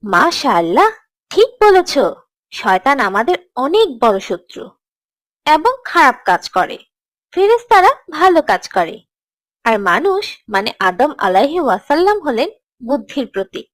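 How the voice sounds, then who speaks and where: A woman playing a gentle, encouraging teacher who tells scripted religious stories to children in a cartoon. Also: high voice, big pitch swings, a little slow, long pauses, dry recording. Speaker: storyteller, teacher.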